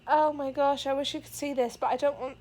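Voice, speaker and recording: A woman's voice: high voice, little pitch movement, a little fast, darkish tone. A young pregnant woman, a little out of breath, giving an earnest, rambling update to her camera with many fillers. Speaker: vlogger. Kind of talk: casual pregnancy update vlog. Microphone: camera facing the speaker.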